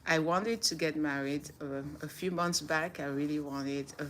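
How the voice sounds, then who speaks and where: A woman's voice: low voice, moderate pace, slight background noise. A middle-aged woman from Senegal with a French accent, hosting a livestream, reading reflections and chatting earnestly with viewers. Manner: earnest, repeats phrases for emphasis, laughs softly. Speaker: livestream host. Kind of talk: casual livestream talk, reading daily reflections.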